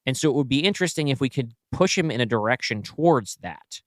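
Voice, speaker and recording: A man's voice: medium pitch, lively intonation, fast talker, no echo, clean studio sound. A man co-hosting a podcast, thinking aloud analytically in a casual writers' brainstorming conversation.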